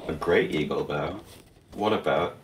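A man's voice: rumbling low, a little slow, reverberant, noisy background. A man bantering casually and jokingly as he co-hosts a gameplay video. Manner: joking, giggly. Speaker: gaming video co-host and player. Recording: distant mic under game music. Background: video game music.

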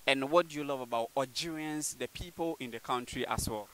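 A man's voice: medium pitch, brisk, slight background noise, low-quality recording. A young man hosting a street interview vlog, speaking emphatically with a handheld microphone. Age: young adult. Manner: animated, emphatic, gestures while talking to camera. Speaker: street interviewer and channel host. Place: outdoors on a campus walkway; indoor intro before a red curtain.

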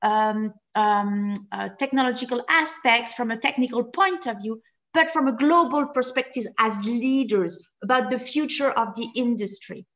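A woman's voice: on the high side, moderate pace, fairly bright tone. French-accented woman lecturing on a video call. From France and Switzerland. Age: 40s. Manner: friendly, self-deprecating, with fillers. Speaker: guest lecturer. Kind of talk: webinar presentation.

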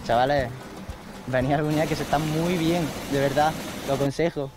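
A man's voice: a little high, steady tone, moderate pace, over music. A teenage young man interviewed outdoors, talking casually and enthusiastically about the place. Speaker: local interviewee.

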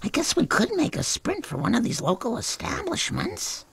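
Raspy cartoon mom, gravelly, high and swooping, slow. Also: female voice, huge pitch swings, exaggerated voice. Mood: worried. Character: anxious cautious cartoon mom, suddenly adventurous foodie. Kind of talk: animated sitcom voice acting.

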